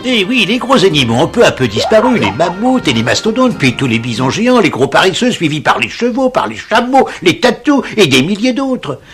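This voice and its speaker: A man's voice: high voice, sing-song, brisk, few pauses, fairly bright tone, faint music behind. A man voicing a wise, enthusiastic inventor who leads a prehistoric tribe in an educational cartoon, theatrical and pleased with his own ideas. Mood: explaining. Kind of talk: animated educational cartoon acting.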